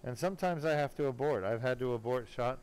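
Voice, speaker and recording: A man working as a spine surgeon, giving casual, self-assured live commentary while he operates, pausing now and then mid-task. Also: mid-range voice, darkish tone. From born in Germany, later lived in California. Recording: clearly noisy operating room sound. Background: room noise and ambience.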